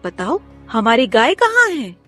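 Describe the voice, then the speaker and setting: A woman voicing a sharp-witted, scolding village wife in a cartoon story. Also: a little high, wildly swooping pitch, measured pace, soft background music, full character voice. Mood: angry, scolding. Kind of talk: Hindi cartoon story dialogue.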